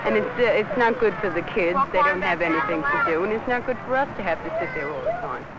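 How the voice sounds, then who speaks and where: A woman's voice: medium pitch, sing-song, fast talker, muffled recording. A woman chatting casually in a group conversation, in informal everyday talk. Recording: noisy old film, loud crowd.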